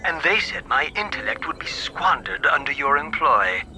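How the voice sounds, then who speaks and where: A man playing a long-suffering robot butler in a video game, formal, deadpan and sarcastic. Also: a little high, normal speed, soft background music, exaggerated voice, rough recording. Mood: bored, mocking. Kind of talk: video game cutscene acting.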